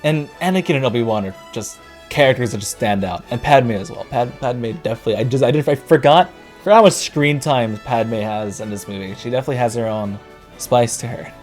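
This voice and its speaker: A man casually reviewing movies, rambling and correcting himself, his voice worn and going hoarse. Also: mid-range voice, a little fast, darkish tone, soft background music. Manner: rambling, self-correcting, laughs often. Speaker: YouTube reviewer.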